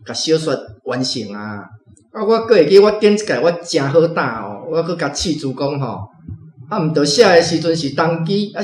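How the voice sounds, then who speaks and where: A man's voice: fairly high pitch, echoey room. A man from Taiwan, vice-president of a reading club, chatting about a story with lots of filler words. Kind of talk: sharing reading impressions.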